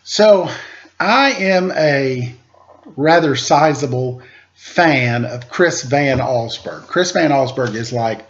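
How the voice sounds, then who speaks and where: A man's voice: mid-range voice, takes it slowly. Guy doing storytime for kids, playful and chatty, really swingy voice, kinda muffled computer mic. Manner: playful, informal, animated. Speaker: storyteller, host reading picture books aloud. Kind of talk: casual chatty introduction and expressive read-aloud for children. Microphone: computer microphone. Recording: dull lo-fi computer microphone.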